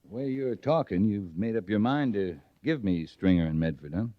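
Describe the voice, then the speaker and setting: A male actor plays a steady cavalry captain in a radio western. His deep voice is measured and dryly understated, quietly confident. The old recording is dry and a little dull. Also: no room sound, natural voice. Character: steady, wry, self-assured frontier cavalry captain. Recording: muffled vintage radio recording.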